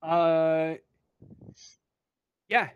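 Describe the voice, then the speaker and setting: Slow, halting game master, clean voice. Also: mid-range voice, fairly even intonation, clean tone, no room sound, phone-quality sound. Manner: halting, pausing often to think. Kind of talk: improvised narration of a tabletop roleplaying game.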